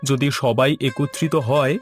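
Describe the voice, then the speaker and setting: A man's voice: medium pitch, fairly even intonation, unhurried, soft background music, lightly acted. A man voicing an earnest, agreeable younger village man eager to help, in scripted cartoon dialogue. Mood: eager, concerned.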